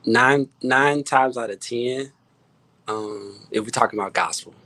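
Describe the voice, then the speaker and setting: A man with an American accent talking casually as a guest music producer, halting and repeating words as he stresses his points. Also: medium pitch, pushed and bright, rough recording. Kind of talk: casual panel talk.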